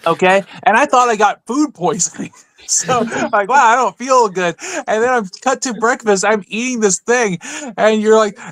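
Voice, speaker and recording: A man's voice: sits high, normal speed, a bit bright, dry recording. A man co-hosting a podcast, telling stories in a casual, comic way. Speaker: podcast co-host.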